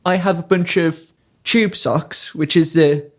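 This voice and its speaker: A man's voice: fairly high pitch, steady tone, slow. A man chatting casually on a podcast, telling rambling anecdotes with a laugh here and there. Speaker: podcast co-host. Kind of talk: casual storytelling.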